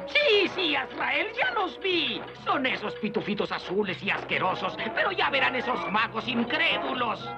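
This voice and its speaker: A hysterical, scheming cartoon wizard in Latin American Spanish. The voice is high and creaky, swooping wildly from muttering to cackles, over loud music. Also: male voice, very animated, moderate pace, few pauses, full character voice. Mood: scheming, excited. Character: scheming, hysterical, bungling evil male wizard. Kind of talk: cartoon dub acting.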